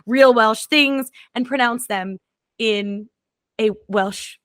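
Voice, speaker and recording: High-voiced bubbly woman podcast host. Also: a bit bright, clear, resonant tone, no room sound, studio quality. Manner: bubbly, enthusiastic, quick to laugh. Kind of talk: casual podcast chat.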